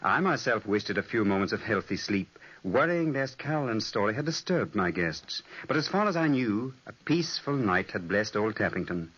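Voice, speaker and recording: An actor playing a genial young manor owner who also narrates in an old radio play, teasing and lively. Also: male voice, fairly low pitch, moderate pace, few pauses, slightly rough, dull audio, exaggerated voice. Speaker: brother and narrator. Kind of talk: 1940s radio acting.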